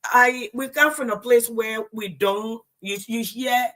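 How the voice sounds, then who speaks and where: Earnest woman with a bright voice. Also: fairly high pitch, steady tone, unhurried. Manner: earnest, emphatic, often restarts phrases and checks in with "okay?". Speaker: teacher. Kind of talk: casual livestream panel talk.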